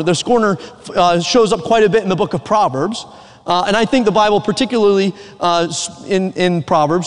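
A man with a Southern American accent preaches in a church, his high voice carried by a long hall reverb. He is earnest and emphatic, breaking now and then into a joking aside. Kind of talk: sermon. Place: church sanctuary.

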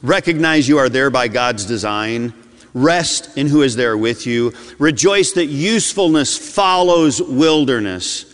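Male pastor preaching a fervent sermon, lively voice, reverberant church sound. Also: medium pitch, a little slow. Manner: impassioned preaching, swings between loud and hushed. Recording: long hall reverb.

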